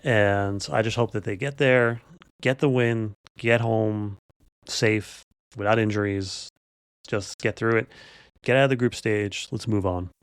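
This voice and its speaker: A man's voice: fairly low pitch, steady tone, measured pace, smooth, no echo, studio quality. A man hosting a podcast, chatting casually in an informal, rambling storytelling way. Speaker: podcast host. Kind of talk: casual unscripted podcast chat.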